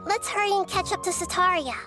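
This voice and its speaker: A little child goddess from an anime game with a very high, girlish voice. She is gentle and composed, speaking thoughtfully and articulately over soft music. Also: fast talker. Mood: reflective. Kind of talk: anime game character acting.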